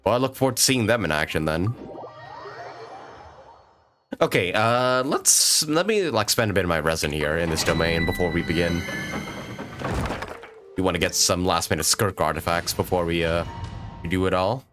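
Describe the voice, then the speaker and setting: A man with a low, lively voice chats casually as he livestreams a game, with music playing behind him. His delivery is hesitant and rambling, as if thinking aloud. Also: moderate pace, long pauses. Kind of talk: casual gaming livestream chat. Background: video game music.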